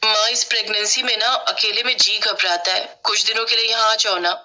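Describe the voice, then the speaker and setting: A woman's voice: medium pitch, fairly even intonation, brisk, pushed and bright. A woman voicing a selfish, snobbish young pregnant sister-in-law from the city in a wheedling, self-serving manner for a story. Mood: whiny, calculating.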